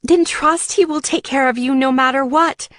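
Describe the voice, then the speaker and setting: A woman's voice: high-pitched, normal speed, a bit bright, no room sound, studio quality. A woman voicing a frank, reassuring young woman, a loyal sister, in an audiobook.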